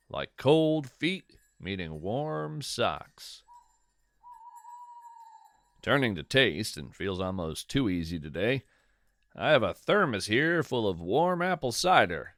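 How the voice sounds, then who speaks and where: A man playing a whimsical, contemplative naturalist narrating a poetic, folksy podcast monologue in a measured, savoring way. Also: medium pitch, a bit bright, no room sound, full character voice. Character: whimsical wandering male naturalist, contemplative lover of strange nature.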